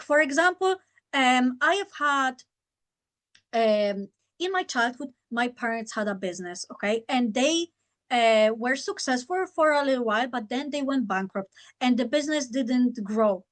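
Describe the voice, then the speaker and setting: A woman's voice: high voice, fairly bright tone. A woman from Poland with a Polish accent, coaching viewers on selling and mindset in a chatty, encouraging live stream full of fillers. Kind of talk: live-streamed masterclass talk.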